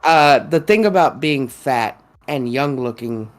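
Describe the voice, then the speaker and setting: A young man co-hosting a podcast in casual chat, with a high-pitched voice that gets mistaken for a woman's, laughing often. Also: expressive. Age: young adult. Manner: laughs often, slow and drawn-out. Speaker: podcast co-host.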